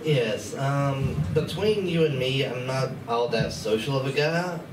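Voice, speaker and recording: A man's voice: medium pitch, animated, a little slow, far from the mic, lightly acted. A man playing a nervous, hesitant convention helper in a live amateur radio play, in a noisy, echoey room. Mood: awkward. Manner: hesitant, awkward. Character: nervous, awkward male convention staffer, eager to help. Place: large indoor room at a convention.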